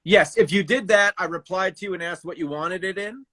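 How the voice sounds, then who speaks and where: A man with a slightly high voice hosts a casual livestream raffle. He talks haltingly, thinking out loud as he works through small tasks, and the sound is close and dry. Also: dry recording. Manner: halting, thinking aloud while doing tasks. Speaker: live raffle host.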